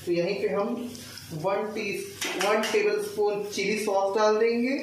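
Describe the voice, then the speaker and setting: A man's voice: high-pitched, level tone, a little slow. A young man narrating an informal cooking tutorial step by step, mixing English words into his speech. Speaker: home cook, recipe host. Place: home kitchen, indoors. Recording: echoey, distant mic, faint kitchen noise.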